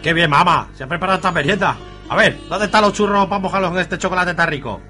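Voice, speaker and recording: A man acting a dim-witted, excitable, naive son in an amateur radio soap opera, full of exclamations. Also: sits high, fast talker, soft background music. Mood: naive, cheerful.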